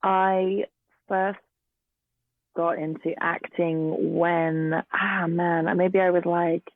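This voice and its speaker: Guest actor, English woman, late 30s, hoarse and gravelly, hesitant; dry, tinny remote call audio. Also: fairly low pitch, little pitch movement, extremely slow, no echo. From England. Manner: hesitant, many ums and restarts. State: hoarse from illness. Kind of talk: casual interview chat. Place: garden shed at home. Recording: tinny phone-like remote line.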